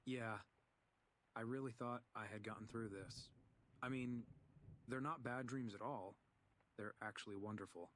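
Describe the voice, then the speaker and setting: A man's voice: medium pitch, fairly even intonation, measured pace, long pauses, fairly bright tone, slight background noise, lightly acted. An actor playing a troubled young man haunted by recurring dreams, speaking in a subdued, hesitant way. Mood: wistful. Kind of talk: voiced game dialogue.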